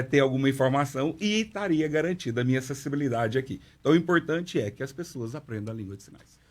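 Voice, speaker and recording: Man explaining calmly in a radio studio. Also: on the high side. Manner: measured explanatory delivery with frequent "né". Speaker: sign language professor and interpreter. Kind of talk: radio interview answers.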